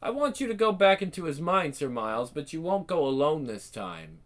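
A woman's voice: low voice, big pitch swings, moderate pace. An actress playing a cold, domineering female villain who gives orders and mocks her servant in a radio drama. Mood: mocking. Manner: icy, commanding, mocking.

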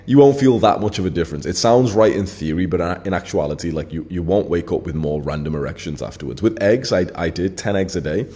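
Low, mellow-voiced man in his twenties. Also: a little fast, hardly any pauses. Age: 20s. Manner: blunt, emphatic, swears freely, heavy filler words. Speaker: channel host. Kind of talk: casual straight-to-camera monologue.

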